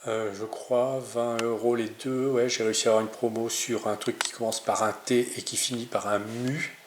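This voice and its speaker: A man's voice: mid-range voice, level tone, moderate pace. A man giving an informal hands-on DIY tutorial as a channel host, speaking in an improvised, hesitant way and often correcting himself. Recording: roomy echo, clear background noise, clicks.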